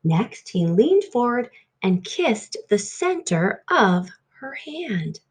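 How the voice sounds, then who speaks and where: A woman's voice: a little high, big pitch swings, takes it slowly. A woman storyteller reading aloud expressively for young children.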